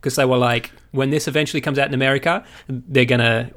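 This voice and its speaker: A man co-hosting a podcast in casual movie chat, telling things in an animated, sweary way and checking in with his listener. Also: medium pitch, fast. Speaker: podcast co-host.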